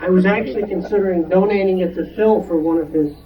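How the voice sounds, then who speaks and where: A man's voice: high-pitched, quick pace, highs cut off, crowd noise. A man giving a casual show-and-tell talk, storytelling with repeated and rephrased words. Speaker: club member presenting a collectible. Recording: distant room mic, faint noise.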